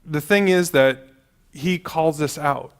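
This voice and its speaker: A man who is a vice president of engineering gives a conference talk in a calm, measured way, with frequent ums and uhs. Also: on the high side, clean tone. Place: conference stage before an audience.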